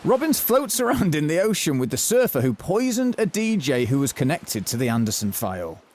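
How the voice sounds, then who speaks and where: A man's voice: fairly high pitch, sing-song, fairly quick, darkish tone. A man narrating a comic audio drama from a script in a mock-serious manner.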